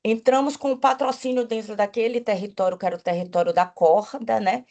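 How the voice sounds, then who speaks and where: A woman's voice: a little high, fairly even intonation, brisk, darkish tone, dull audio. A woman from Bahia, speaking as an agency executive on a panel, enthusiastic, with frequent restarts and tag questions. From Bahia, Brazil. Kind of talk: panel talk.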